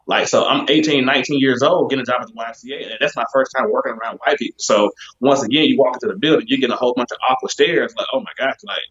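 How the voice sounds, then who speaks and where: Man, rapid talker, animated, muffled lo-fi sound. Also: mid-range voice. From west side of Birmingham, Alabama. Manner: animated storyteller, acts out quoted voices. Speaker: podcast host. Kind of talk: casual chat. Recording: dull lo-fi sound.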